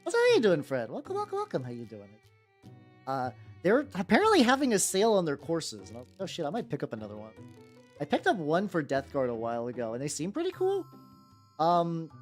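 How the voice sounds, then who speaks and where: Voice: medium pitch, huge pitch swings, brisk. A streamer chatting casually and irreverently while painting miniatures, swearing freely and often stammering or repeating words mid-sentence. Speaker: livestreamer. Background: background music.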